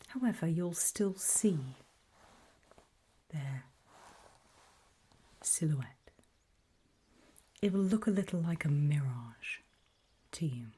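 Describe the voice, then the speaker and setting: A woman whispering softly and breathily in an ASMR roleplay, giving calm, deliberate instructions with pauses, close to the microphone. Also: sits low, breathy edge. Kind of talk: whispered ASMR roleplay, scripted guide briefing.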